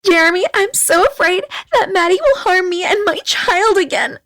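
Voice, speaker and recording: A pitiful, scheming young mistress in an audiobook romance. Her voice is pitched very high, and she wheedles plaintively, clinging and sweetly fearful. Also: animated, moderate pace, fairly bright tone, full character voice. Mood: feigned fear. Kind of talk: audiobook character acting.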